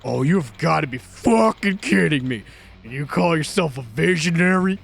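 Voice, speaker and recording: A man's voice: fairly high pitch, sing-song, a little slow, gravelly, a bit bright, pitched below the performer's own voice, full character voice. A man voicing a menacing, foul-mouthed male thug producer in a game dub, snarling threats. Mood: enraged.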